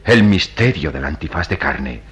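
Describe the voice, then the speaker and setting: An actor playing a grave, compassionate priest in a radio drama, speaking in solemn, oratorical phrases. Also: male voice, very deep voice, big pitch swings, normal speed, fairly bright tone, husky, heavily acted. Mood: fervent, grave. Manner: solemn, oratorical, emphatic delivery. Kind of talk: melodramatic Latin American radio drama acting.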